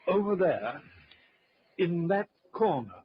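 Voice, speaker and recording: A man's voice: sits high, sing-song, slow pace, exaggerated voice. A man playing a courteous, formal ship's officer in an old-time radio horror play, speaking politely with some hesitation. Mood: courteous, grave. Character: courteous ship's officer recovering bodies from the sea. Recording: dull, noisy old radio recording.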